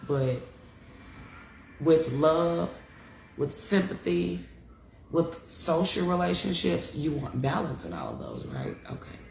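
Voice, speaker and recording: A woman's voice: low voice, big pitch swings, takes it slowly, gravelly, long pauses, darkish tone, reverberant, slight background noise, rough recording. A congested woman giving a casual live-stream astrology talk, chatty and frank, cursing freely and calling her viewers y'all. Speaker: astrology teacher. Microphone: live-stream device mic.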